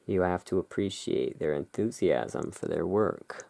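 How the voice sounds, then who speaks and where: Slow, low, creaky gothic ASMR voice. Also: animated, dark tone. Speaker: ASMR creator, tarot deck reviewer. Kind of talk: relaxing ASMR roleplay, reading cards aloud and commenting.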